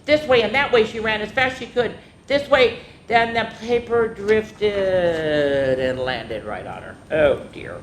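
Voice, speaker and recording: A woman reads aloud to children as a story-time host, expressive and stretching out her words. Her voice is medium in pitch, recorded in a reverberant room with faint background noise. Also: measured pace. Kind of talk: animated picture-book read-aloud with casual asides.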